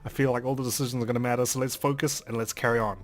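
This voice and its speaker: A man playing and reading a visual novel aloud in a dramatic, expressive way as a let's player. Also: mid-range voice, rapid-fire. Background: game music.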